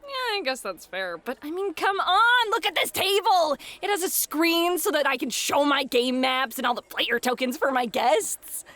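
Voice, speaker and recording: A woman's voice: sits high, moderate pace, hardly any pauses, no echo. A woman playing a playful, teasing fairy hostess and game master in a flirty ASMR roleplay, talking straight to the listener. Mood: excited, proud. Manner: flirty, teasing, excitable, addresses listener directly.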